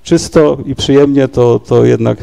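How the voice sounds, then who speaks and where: A man's voice: medium pitch, fairly even intonation, unhurried, creaky, dark tone, low-quality recording. A man speaking on a panel discussion in a rambling, hesitant way, with many fillers and tag questions. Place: large university lecture hall.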